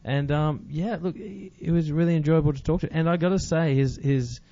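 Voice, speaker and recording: A man's voice: fairly high pitch, a little fast, warm tone, dry recording. A man hosting a podcast in a casual, conversational chat. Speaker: podcast host. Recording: dry, slightly dull recording.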